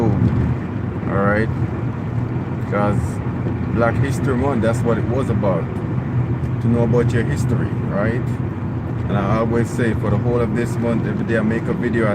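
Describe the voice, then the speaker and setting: Earnest man talking over loud traffic. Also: medium pitch. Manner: earnest and emphatic, with constant "right?" and "okay?" tags. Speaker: vlogger. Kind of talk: live-streamed monologue. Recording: loud outdoor traffic noise.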